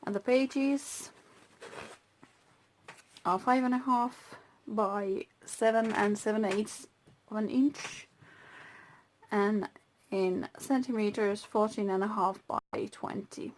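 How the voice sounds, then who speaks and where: A Finnish-native woman hosting her own craft channel, talking through a handmade journal slowly, with pauses and soft whispered asides. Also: medium pitch, a little hiss or hum. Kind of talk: casual crafting flip-through.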